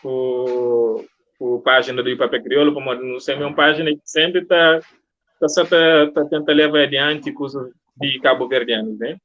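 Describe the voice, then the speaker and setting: A man's voice: mid-range voice, steady tone, moderate pace, a bit bright. A man hosting a casual live-streamed interview, chatting with guests and viewers. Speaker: interview host. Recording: muffled, lo-fi online stream audio.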